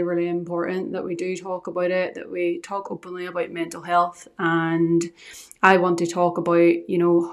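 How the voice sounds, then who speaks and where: Chatty Northern Irish woman, low-pitched. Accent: Northern Irish, softened by Scottish. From Northern Ireland. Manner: chatty, rambling, earnest. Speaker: podcast host. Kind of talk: solo podcast monologue. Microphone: podcast microphone.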